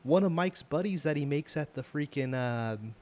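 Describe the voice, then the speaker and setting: A man's voice: a little high, lively intonation, moderate pace, darkish tone. A man with an American accent chatting casually as a podcast co-host, rambling through a TV show review with slangy emphasis.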